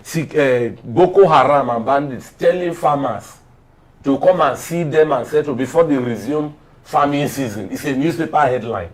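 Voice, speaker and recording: A man's voice: medium pitch, normal speed. Emphatic Nigerian man discussing politics. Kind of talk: political discussion, storytelling commentary.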